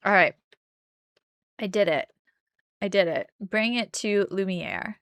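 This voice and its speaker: A woman's voice: medium pitch, expressive, slow pace, a bit bright, smooth, no room sound, clean studio sound. Chatty woman doing casual gameplay commentary. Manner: chatty, self-deprecating. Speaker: let's play host.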